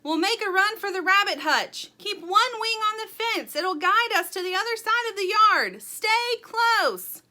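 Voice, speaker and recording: A woman voicing a bossy young female chicken from a storybook, yelling urgent commands. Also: very high-pitched, lively intonation, a little slow, few pauses, pitched above the performer's own voice, heavily acted.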